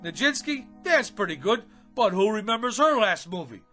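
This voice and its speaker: High-pitched, brash hustler hack writer. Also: male voice, sing-song, moderate pace, pushed and bright, faint music behind, exaggerated voice. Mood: pleading hard sell. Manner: slangy, boastful, stammering wheedler. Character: brash, fast-talking, self-important hack writer and hustler. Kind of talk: comedic radio drama acting.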